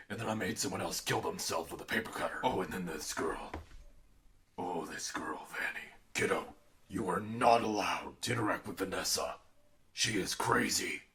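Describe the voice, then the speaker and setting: A man voicing a bitter, unhinged undead killer animatronic father telling an ASMR bedtime story, gravelly and theatrical, moving between menacing murmurs and outraged outbursts. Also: fairly low pitch, bright, forceful tone. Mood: bitter, quiet.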